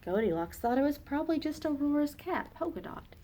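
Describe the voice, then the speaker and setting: A woman teacher reading a children's picture book aloud, slow and expressive for young listeners. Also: high-pitched, slight background noise.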